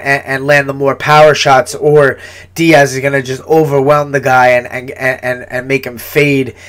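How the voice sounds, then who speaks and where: A man's voice: medium pitch, a bit bright. Congested sniffling man breaking down fights. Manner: rambling, repeats words, swears casually. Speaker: fighter giving fight picks. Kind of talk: casual fight breakdown monologue.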